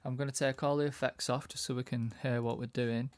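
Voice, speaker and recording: Low-voiced man with a gravelly, creaky edge. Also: little pitch movement, a little fast, darkish tone, no room sound. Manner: informal, thinking aloud as he works. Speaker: music production tutor. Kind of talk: casual software tutorial narration.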